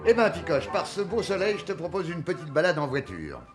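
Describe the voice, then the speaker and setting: An actor playing a composed, genteel boss who talks to his sidekick in a measured, paternal way. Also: on the high side, big pitch swings, over loud music. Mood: cheerful, relaxed.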